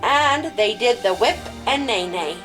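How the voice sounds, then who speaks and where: A woman hosting and telling a children's story in an expressive storybook style. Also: fairly high pitch, measured pace. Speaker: host and storyteller. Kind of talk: animated storybook narration for children. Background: music.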